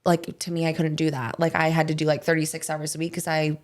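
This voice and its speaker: A woman, a guest on a studio podcast, answering in a relaxed way full of "like". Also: deep voice, fast talker. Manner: relaxed, lots of "like" fillers. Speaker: guest, esthetician. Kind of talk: casual interview answers.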